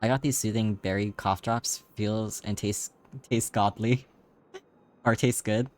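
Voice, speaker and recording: A man streaming live, chatting casually with a slightly raspy, tired voice and rambling, filler-heavy talk. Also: fairly low pitch, fairly even intonation, slow pace, a little mellow. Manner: rambling, many fillers, laughs often.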